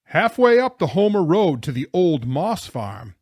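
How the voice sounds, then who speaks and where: A man's voice: on the high side, sing-song, a little slow, a little mellow, dry recording, studio quality, voice pushed up, full character voice. A man voicing a blustery, grumbling, worn-out grown man in an audiobook story. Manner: gasping, grumbling, roaring.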